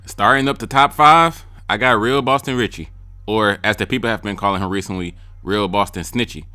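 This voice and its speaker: A young man reviews rap freestyles in loose, slangy talk, blunt and joking. His fairly low voice has a bright, forward edge. It sounds close and dry, with faint music underneath. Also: brisk, smooth, dry recording. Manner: blunt, slangy and joking; mimics rappers' lines. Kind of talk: casual commentary ranking freestyles.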